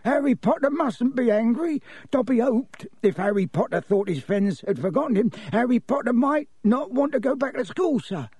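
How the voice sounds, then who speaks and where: A man's voice: extremely high pitch, lively intonation, normal speed, a little mellow, breathy edge, no room sound, pitched above the performer's own voice, heavily acted. A man voicing a small, anxious and servile but stubborn male elf, pleading as in an audiobook. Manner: pleading, refers to self in third person. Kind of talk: audiobook character voice.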